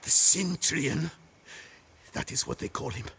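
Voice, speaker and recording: A man's voice: a little high, measured pace, gravelly, bright, forceful tone, slightly rough, exaggerated voice. An actor playing a frightened captured bandit in a video game, crude and stammering as he protests. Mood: cowed confessing. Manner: panicked stammering, crude taunting. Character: terrified captured bandit, defiant then cowering and pleading. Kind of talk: dramatic video game acting.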